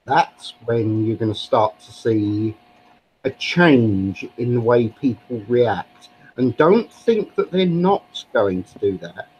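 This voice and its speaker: A man's voice: mid-range voice, lively intonation, slow, darkish tone, a little hiss or hum, low-quality recording. A British man arguing politics on a live stream in an opinionated, lecturing way. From Britain. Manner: opinionated, lecturing, uses actually a lot. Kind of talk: casual political argument.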